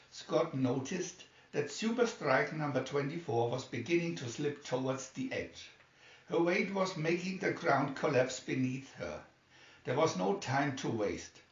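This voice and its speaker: Man reading a story, roomy distant sound. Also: medium pitch. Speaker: amateur reader of a children's story booklet. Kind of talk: scripted story narration, read aloud. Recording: dull, roomy, distant microphone.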